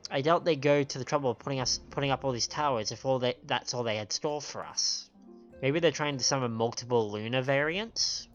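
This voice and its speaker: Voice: mid-range voice, fairly bright tone. A game streamer with an androgynous voice, reading dialogue aloud and commenting live, often stumbling over the written lines. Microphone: microphone on a stand. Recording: dull lo-fi stream with game music. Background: game music.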